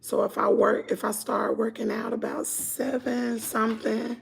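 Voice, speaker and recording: A middle-aged woman with a Southern US accent, chatting hoarsely to her viewers at home on a phone livestream. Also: on the high side, flat delivery, measured pace, a little hiss or hum. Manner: chatty, laughing, drawling, talks to "y'all". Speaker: vlogger on a morning livestream. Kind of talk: casual chat with viewers. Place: at home indoors.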